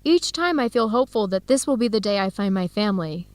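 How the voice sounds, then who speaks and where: A woman's voice: mid-range voice, expressive, fast, no room sound, natural voice. A woman playing an earnest, disheartened young woman in an educational radio drama, speaking emotively. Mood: discouraged. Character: Helen, disheartened twenty-two-year-old American woman, earnest.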